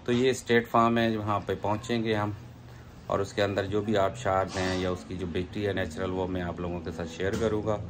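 Deep-voiced Pakistani man vlogging casually. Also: level tone, noisy background. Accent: Urdu-speaking, Pakistani. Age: middle-aged. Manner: chatty, unhurried, many fillers and asides. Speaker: travel vlogger. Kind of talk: casual travel vlog narration. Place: indoors by a plain wall, then driving on a highway. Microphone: phone camera at arm's length.

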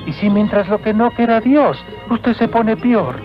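A meek earnest peasant in a cartoon, high voice, muffled old audio with music. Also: male voice, expressive, measured pace. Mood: worried, obedient. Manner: deferential, earnest. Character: humble, devout young peasant man, earnest and obedient. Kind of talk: dubbed cartoon acting.

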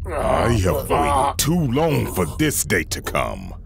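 A man's voice: medium pitch, wildly swooping pitch, takes it slowly, vocal fry, a crowd in the background, heavily acted. A man playing a zealous, grandiose villain in an audio drama, proud and menacing. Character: zealous villain, proud chosen servant of dark power.

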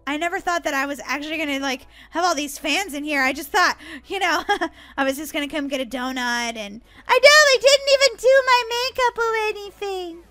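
A woman voicing excitable, dramatic young sisters in a gaming roleplay skit. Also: high-pitched, a little fast. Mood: starstruck. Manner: dramatic, whiny, exclaiming. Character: excitable, dramatic girl gamer and her bickering sister.

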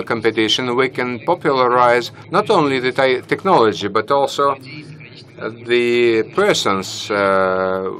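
A young man speaking on a conference panel into a handheld mic, halting with many fillers and restarts. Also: mid-range voice, a bit bright. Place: conference stage, large hall. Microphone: handheld microphone.

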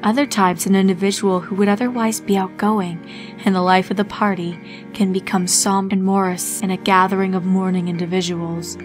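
A woman's voice: medium pitch, little pitch movement, a little fast. A woman reading a scripted horror story as a dramatic narrator. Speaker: narrator of horror fan fiction. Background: music.

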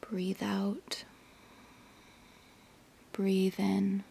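A woman leading a guided meditation in a soft, breathy voice that often nears a whisper, giving gentle instructions with long pauses. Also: mid-range voice, completely flat delivery, a little hiss or hum. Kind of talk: guided yoga nidra meditation.

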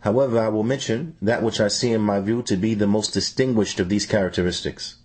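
A man with a lowish, rough-edged voice reads a religious teaching in translation. His delivery is measured, like a lecture. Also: steady tone, darkish tone. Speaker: translator reading an Islamic scholar's answers.

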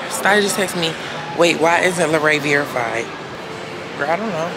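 A young woman in her late teens vlogging casually to a handheld camera, excitable and full of slangy exclamations and squeals. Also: low voice, moderate pace, over loud music. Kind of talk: casual vlog chat to camera. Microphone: handheld camera close to face.

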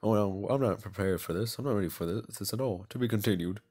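A man reading a visual novel aloud with casual side comments, halting and stumbling now and then. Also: fairly low pitch, darkish tone, slightly rough. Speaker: video game player and narrator.

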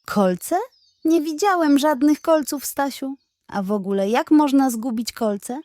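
A woman voicing a friendly young male hare in a children's bedtime story, animated, teasing but caring. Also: high voice, a little slow, no room sound, clean studio sound, voice pushed up. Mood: surprised.

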